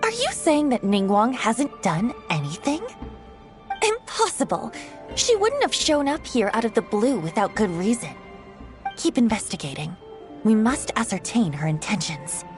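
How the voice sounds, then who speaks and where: A woman's voice: a little high, sing-song, normal speed, over music. A woman voicing a suspicious, irritated bank official in a video game, indignant and curt. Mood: alarmed, insistent.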